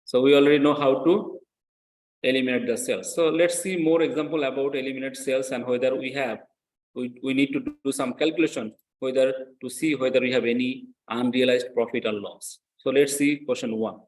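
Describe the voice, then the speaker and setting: A man's voice: medium pitch, steady tone, brisk. Echoey male lecturer, non-native English speaker. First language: not English. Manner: repeats phrases, frequent check questions to students. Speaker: accounting lecturer. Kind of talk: classroom lecture, explaining step by step. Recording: echoey room sound.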